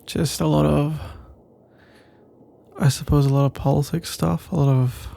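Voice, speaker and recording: A man playing a nervous, pensive roommate in an intimate ASMR roleplay, speaking in a soft, husky, halting ramble. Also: fairly high pitch, fairly even intonation. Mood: pensive, weary. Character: nervous, pensive male roommate working up to a confession.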